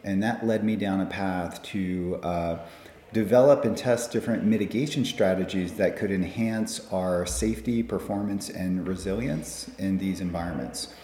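A man from the United States, answering interview questions about his research in a fluent, measured way. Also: deep voice, dark tone. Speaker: guest scientist. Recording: echoey conference room.

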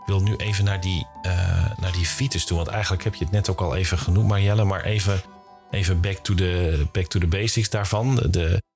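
A male podcast host with a Netherlandic Dutch accent and a very low voice. He presents and asks questions in a conversational way, with faint music in the recording. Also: steady tone, a little fast, darkish tone. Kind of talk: scripted intro and casual interview.

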